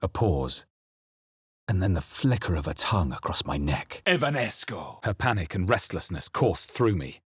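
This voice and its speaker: Video game adventurer: deep, rough-edged and close-recorded, watchful and wry, narrating with measured drama. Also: male voice, big pitch swings, no room sound, exaggerated voice. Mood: forceful incantation. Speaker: player character voice in a video game. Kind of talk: scripted game narration, barks and spell incantations.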